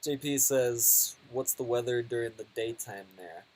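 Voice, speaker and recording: A man hosting a casual travel live stream, reading viewer questions with relaxed, hesitant chatter and fillers. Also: mid-range voice, measured pace, rough recording.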